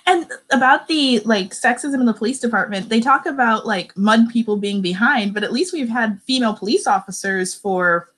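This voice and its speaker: A woman's voice: fairly high pitch, normal speed, few pauses, a bit bright. A woman talking casually as a panelist in a book discussion.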